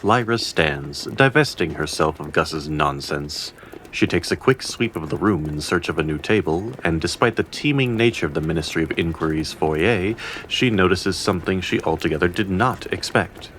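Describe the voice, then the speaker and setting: A man's voice: deep voice, expressive, moderate pace, fairly bright tone, a little hiss or hum. A man narrating a scripted fantasy audio drama in a formal, literary storytelling manner. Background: faint music.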